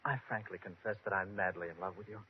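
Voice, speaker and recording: An actor playing a courtly, romantic and earnest young prince in an old-time radio drama. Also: male voice, low voice, fairly even intonation, quick pace, natural voice. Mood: ardent. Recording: dull old radio recording with hiss.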